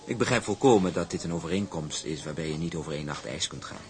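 A man playing a smug young shipping heir in a radio drama, speaking with formal, oily politeness and measured hesitations. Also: very deep voice. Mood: coolly persuasive. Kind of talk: Dutch radio drama acting.

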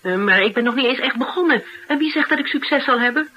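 An actress playing a flustered, blunt, protective interviewer in a radio drama, with a Netherlands Dutch accent and a halting, exasperated delivery. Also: female voice, high-pitched, animated, bright, forceful tone, highs cut off, full character voice. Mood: exasperated, insistent. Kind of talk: naturalistic radio drama dialogue. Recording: faint background noise.

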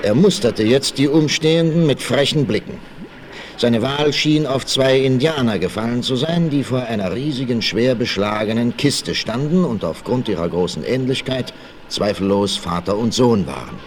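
A man's voice: medium pitch, expressive, normal speed. A man narrating a 1960s radio play as a dramatic, theatrical, suspenseful storyteller. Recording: vinyl record transfer with faint noise.

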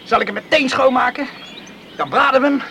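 A man's voice: very high voice, fairly quick, sharp tone, a little hiss or hum. An actor playing a roguish, carefree man, an island drifter and poacher in a radio play, jaunty and teasing. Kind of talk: Dutch radio play acting.

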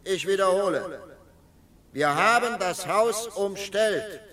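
An actor playing a confident, commanding FBI captain in an old radio crime drama. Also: male voice, high voice, lively intonation, a bit bright, exaggerated voice. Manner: commanding, brisk. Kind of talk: vintage radio drama acting.

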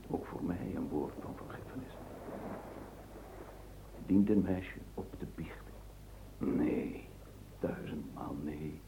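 A man's voice: rumbling low, slow, vocal fry, pauses often, dark tone, heavily acted. An actor playing a self-reproaching middle-aged man muttering his private thoughts half-whispered in a radio play. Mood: remorseful. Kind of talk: radio play interior monologue. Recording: noisy, hissy old radio play tape.

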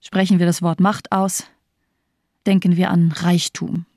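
A woman's voice: on the low side, little pitch movement, measured pace, darkish tone, no room sound, studio quality, lightly acted. An actress playing a cold, bitterly nihilistic female doctor in a German radio crime drama, speaking in bleak, disdainful declamation. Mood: contemptuous, bitter.

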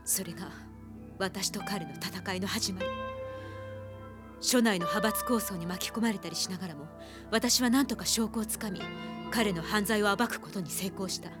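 A woman's voice: mid-range voice, normal speed, music in the background, natural voice. Actress for resolute female investigator, former police profiler, composed and matter-of-fact, anime radio drama. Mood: grave, explanatory. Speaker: voice actor.

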